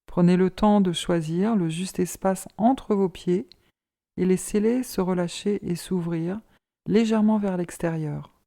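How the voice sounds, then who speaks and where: A woman leading a guided yoga relaxation as an instructor, in a calm, measured, soothing manner. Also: lowish voice, creaky.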